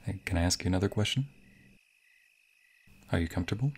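A man acting a sweet, bashful young waiter on a romantic date in an ASMR roleplay, with a soft, breathy, playful and hesitant delivery. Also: deep voice, fast talker, exaggerated voice. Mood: warm, bashful. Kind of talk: ASMR roleplay, intimate scripted boyfriend monologue.

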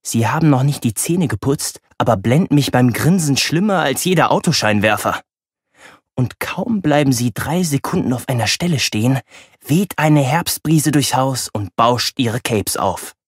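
A man's voice: medium pitch, animated, normal speed, slightly rough, no echo, studio quality. A man reading a children's audiobook expressively, telling it wryly from a boy's point of view. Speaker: audiobook narrator. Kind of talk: expressive children's audiobook narration as a boy narrator.

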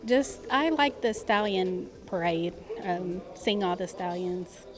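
A woman's voice: on the low side, animated, slow pace. Woman interviewee with music behind her. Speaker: visitor being interviewed. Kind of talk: casual, brief interview replies.

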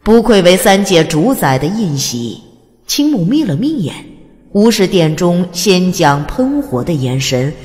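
A woman's voice: lowish voice, very animated, measured pace, darkish tone. A woman reading a fantasy audiobook as narrator, in a dramatic scripted storytelling style, with reverb on her voice.

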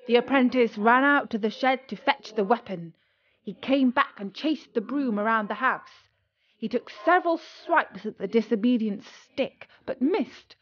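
A woman narrating a scripted children's story as a storyteller, expressive and dramatic, with deliberate pauses. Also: on the high side. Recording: dull lo-fi audio with music.

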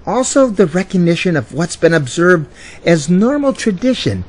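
A man's voice: high voice, very animated, normal speed. An actor playing a self-satisfied man in his fifties, earnestly defending a cause. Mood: pleased, earnest. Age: 50s. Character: self-satisfied fifty-two-year-old male zealot for a cause.

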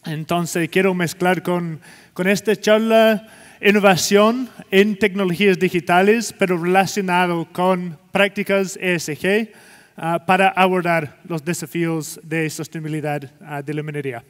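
A man's voice: high-pitched, steady tone, unhurried, in a large hall. A man in his forties from Scotland with a Scottish accent over Chilean-learned Spanish, giving a conference keynote on a large stage, hesitant with many fillers. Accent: Scottish, speaking Spanish learned in Chile. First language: English. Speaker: keynote speaker.

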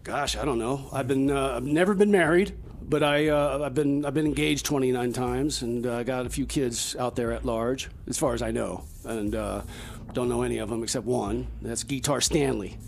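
A man playing a slow, oblivious older career temp who rambles and repeats himself in comedy radio theater. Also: medium pitch, creaky, slight background noise, lightly acted. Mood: rambling, slow-witted. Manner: halting, befuddled, repeats himself.

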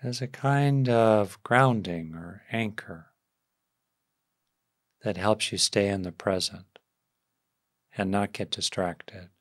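Soft spoken guy teaching meditation, really slow, lots of pauses, dry close sound. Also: on the low side, no echo. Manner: gentle, soft-spoken, with long pauses between short phrases. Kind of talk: guided meditation.

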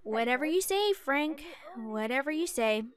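A woman's voice: sits high, lively intonation, normal speed. A woman voicing a brash, protective teenage schoolgirl in a cartoon comic dub, blunt and teasing. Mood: protective, encouraging. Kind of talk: animated comic dub acting. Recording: crowd chatter behind the voice.

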